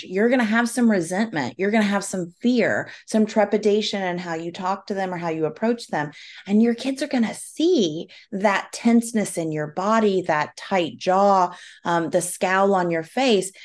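A woman's voice: medium pitch, a little slow, a little mellow. A middle-aged woman, a guest on a parenting podcast, talking earnestly in long explanatory runs with occasional fillers. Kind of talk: conversational podcast interview.

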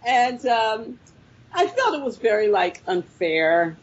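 A woman's voice: a little high, very animated, takes it slowly, slightly rough, lo-fi sound. A woman filmmaker answering interview questions, telling her story reflectively with frequent fillers. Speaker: filmmaker guest.

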